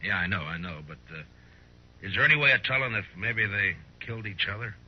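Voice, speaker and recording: An actor playing a stern, laconic frontier marshal in a 1950s radio western, terse and understated. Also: male voice, fairly low pitch, animated, a little fast, husky. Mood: businesslike. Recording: muffled old 1950s radio recording.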